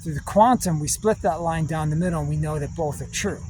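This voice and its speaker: A man giving a rambling spiritual monologue in slow, drawn-out, meditative phrases with long pauses. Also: on the high side, noisy background. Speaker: spiritual speaker.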